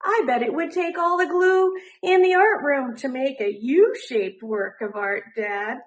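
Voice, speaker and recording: A woman voicing an excitable young schoolboy in a children's storybook, eager and exclaiming. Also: high voice, unhurried. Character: excitable young schoolboy who loves glue.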